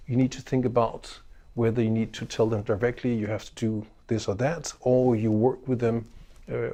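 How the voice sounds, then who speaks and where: Danish-accented professor, measured and unhurried. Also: male voice, on the low side, a little mellow. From Denmark. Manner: measured, unhurried delivery with frequent ums and uhs. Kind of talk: recorded course introduction lecture.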